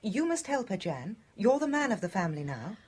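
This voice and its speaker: A woman's voice: medium pitch, big pitch swings, brisk, a little mellow, breathy edge. A British woman playing a secretive, emotional wife of a murdered man in a radio drama. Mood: soothing.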